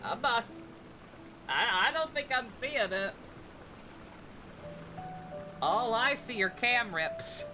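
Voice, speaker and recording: A woman's voice: on the high side, lively intonation, takes it slowly, long pauses. A woman live streaming a video game, chatting casually as she plays. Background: game music.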